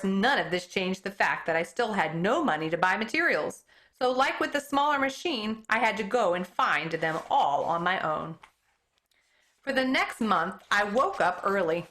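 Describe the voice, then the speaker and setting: A woman, an elementary school teacher, reading a children's book aloud with expressive storytelling, stumbling over hard names and commenting on them. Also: mid-range voice.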